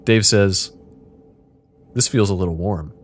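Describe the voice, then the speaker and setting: A man casually narrating an interactive fiction game as its host, in a deadpan, playful way. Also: low voice, a little slow.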